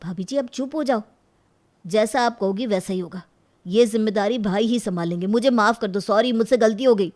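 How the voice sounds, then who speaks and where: A woman's voice: mid-range voice, a little fast, darkish tone, lightly acted. A woman voicing a dutiful, stubborn brother in a family moral cartoon, speaking in an insistent, earnest way. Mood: placating. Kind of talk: Hindi moral cartoon story acting.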